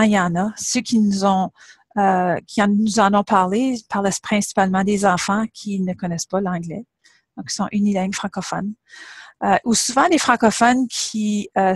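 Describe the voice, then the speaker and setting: A woman's voice: medium pitch, dry recording. A middle-aged woman, a university professor giving a webinar presentation and setting out her points in order. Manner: explanatory, measured, lays points out in order.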